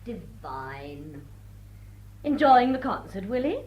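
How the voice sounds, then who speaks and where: A gushing, commanding English society woman in a drawing-room drama. Her voice is husky and mid-pitched, with theatrical swoops and an upper-class accent. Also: very animated, slow, muffled recording, exaggerated voice. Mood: effusive. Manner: theatrical, effusive. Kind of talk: period drawing-room radio drama acting.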